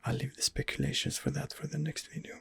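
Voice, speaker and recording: A man giving ASMR game commentary in a breathy, husky whisper, hesitant and rambling as he muses his way along. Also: medium pitch, steady tone, fast, a bit bright. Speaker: video game streamer, channel host.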